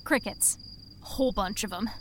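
A woman's voice: mid-range voice, moderate pace, slight background noise, natural voice, low-quality recording. A woman playing a kind-hearted, easygoing pet shop worker in a naturalistic audio drama, casual and eager to please. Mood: chatty, upbeat.

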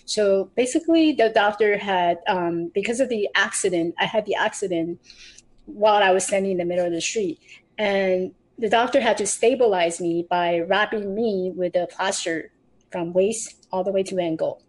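A woman shares her personal story on a remote podcast. She speaks earnestly and often restarts her phrases, with slightly grammar. Her pitch sits in a middle range. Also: moderate pace, rough recording. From Taiwan. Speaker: podcast guest.

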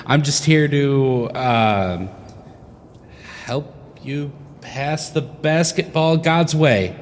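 An actor playing a mild, earnest young priest in a comedic audio drama, polite and hesitant, trying to sound cool. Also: male voice, medium pitch, drawn-out words, a little hiss or hum. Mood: awkwardly upbeat. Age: young adult.

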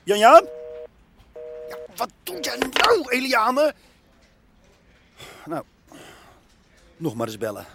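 A bumbling radio comedy researcher with a high, very lively voice. He fumbles and hesitates, yet says tactless things bluntly. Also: slow, creaky, long pauses, noisy background, heavily acted. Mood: flustered.

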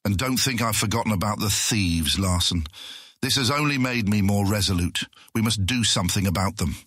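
Bright, breezy, husky guildmaster. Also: male voice, lowish voice, normal speed, no echo. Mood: resolute. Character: genial, naive-seeming guildmaster, brightly entitled and resolute. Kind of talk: fantasy audio drama acting.

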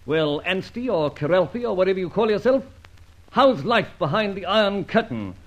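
A plucky gentleman adventurer in a radio serial. His voice is husky and on the high side for a man, and he talks in breezy, confident, old-fashioned slang. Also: very animated, normal speed, low-quality recording. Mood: triumphant, mocking.

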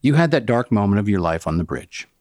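A male host asking an interview guest questions in a measured, composed way. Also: lowish voice, sing-song, a little mellow, no room sound, clean studio sound. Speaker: podcast host.

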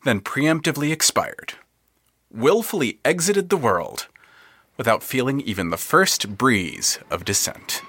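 A man giving scripted, literary narration for an audio drama in a dramatic, expressive manner. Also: mid-range voice, a little slow.

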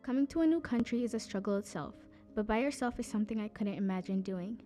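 Mellow-voiced young woman interviewer. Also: mid-range voice, fast, faint music behind. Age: young adult. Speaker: youth interviewer. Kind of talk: scripted interview questions and narration.

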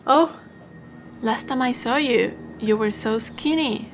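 A woman playing a warm, chatty older housewife in a naturalistic audio drama. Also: on the high side, slow pace, slight background noise. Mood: fond, welcoming.

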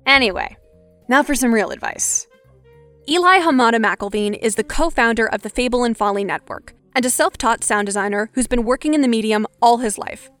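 Young woman host, clear and instructive. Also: fairly high pitch, fairly quick, a bit bright, no echo, soft background music. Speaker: podcast host. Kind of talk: scripted narration and interviewing.

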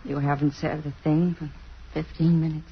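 A woman's voice: deep voice, level tone, normal speed, natural voice. An actress in an old-time American radio drama, playing an earnest woman in love and torn by conscience. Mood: gently teasing. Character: earnest, lovestruck female concert musician, torn by conscience. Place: radio theatre stage. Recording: muffled, hissy vintage radio recording.

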